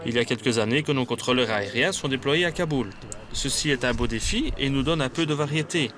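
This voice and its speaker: Casting: male, medium pitch, for a scripted first-person testimonial voice-over. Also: a little fast, a bit bright.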